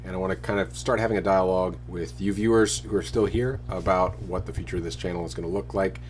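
A man in his thirties talking casually to a handheld camera at arm's length, relaxed and rambling. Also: sits low, fast, a little hiss or hum. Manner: relaxed, rambling, self-deprecating. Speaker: vlogger. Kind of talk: casual vlog talk to camera. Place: porch and living room of a house, then dark room.

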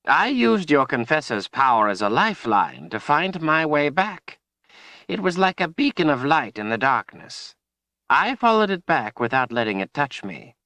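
Old wizard, performed by a male narrator: raised pitch, urgent, proud, emphatic delivery for a fantasy storybook. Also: big pitch swings, moderate pace, pushed and bright, no echo, heavily acted. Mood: proud, relieved. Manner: gasping, emphatic.

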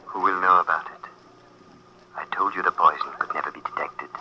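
A man's voice: deep voice, steady tone, fairly quick, close to an everyday voice. A man playing a sinister, insinuating inner voice that urges murder, in old-time radio drama style. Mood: sinister urging. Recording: dull, noisy old radio recording.

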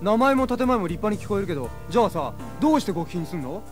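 A man playing a brash, mocking high school boy, cheeky and challenging, in an audio drama. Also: sing-song, fast, soft background music.

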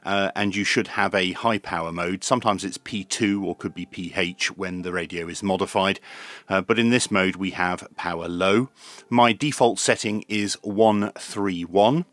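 A man with a fairly low voice presents a hands-on product demonstration. He explains each step methodically in an informal reviewer's style. The sound is dry, with very little room echo, as if recorded in a small room at a desk. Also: normal speed, fairly bright tone. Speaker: radio reviewer, channel host.

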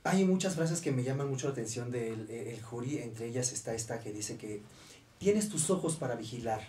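A man's voice: medium pitch, lively intonation, dark tone, recorded from a distance, slight background noise. Man hosting radio, roomy and off-mic. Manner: filler-heavy, many "eh" pauses. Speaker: radio show host. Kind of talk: radio interview. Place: radio studio booth. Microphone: studio boom mic, heard from a distance.

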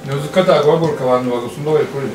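Rapid young man, echoey and off-mic. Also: fairly high pitch, over music. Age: young adult. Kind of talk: casual chat among friends. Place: indoors at a home dining table. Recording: echoey room, distant mic, clattering dishes.

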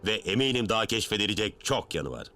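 Wise alien mentor, cartoon, forceful, lively contours, measured, formal, mysterious. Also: male voice, on the low side, soft background music. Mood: solemn. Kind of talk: animated series dub acting.

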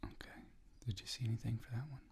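A man in his thirties doing a whispered ASMR doctor roleplay, breathy and soothing, speaking slowly and reassuringly up close to the microphone. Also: mid-range voice, fairly even intonation, a little mellow. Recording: close-up with clear noise and clicking.

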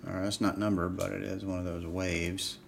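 A man's voice: sits low, flat delivery, a little fast, vocal fry, slight background noise. A man casually talking through a live trading card box break, reading out cards as he opens packs. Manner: casual, chatty, reads out cards while opening packs. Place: indoor table set up for card streaming.

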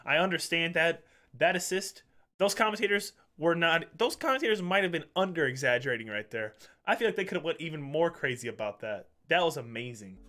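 A man's voice: on the high side, pushed and bright. A young man hosting a reaction video, talking in a laid-back, chatty way, nasal and stuffed up from a cold, on a headset mic in a small room at home. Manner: laid-back, chatty, addresses viewers as guys. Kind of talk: casual reaction commentary. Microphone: gaming headset mic.

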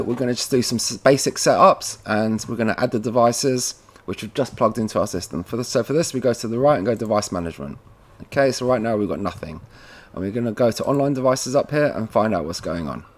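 Male tutorial presenter, medium pitch, informal installer type, relaxed wording, guiding viewers through on-screen setup steps. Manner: informal, addresses viewers as guys. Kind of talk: casual step-by-step software tutorial.

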